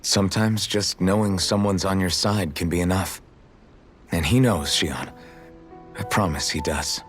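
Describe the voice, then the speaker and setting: An actor playing a steady, reassuring young hero who leads the group in a video game, calm and sincere. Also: male voice, low voice, a little slow, soft background music. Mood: gentle, reassuring. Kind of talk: dramatic video game voice acting.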